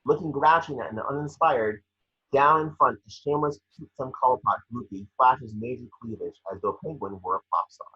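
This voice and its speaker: A man with a husky, raspy voice reading scene descriptions for a screenplay table read in a brisk, vivid storytelling way. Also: mid-range voice. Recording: dull lo-fi call audio.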